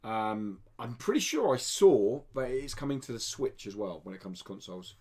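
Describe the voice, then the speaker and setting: A man's voice: mid-range voice, big pitch swings, moderate pace, warm tone, slightly rough. A man hosting a podcast, presenting news in a casual, chatty style.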